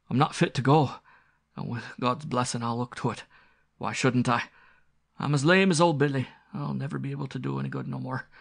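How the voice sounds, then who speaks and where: Man voicing storybook country squire, blustering, fearful, hot-tempered, raised emphatic voice, gravelly edge. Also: medium pitch, voice pushed up, lightly acted. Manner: blustering, rustic, emphatic speech.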